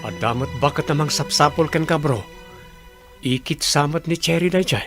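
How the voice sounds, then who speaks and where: A man's voice: on the high side, normal speed, a bit bright, soft background music. A man playing a casual, helpful young construction worker in an Ilocano comedy radio drama, with a Filipino accent. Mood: teasing, casual.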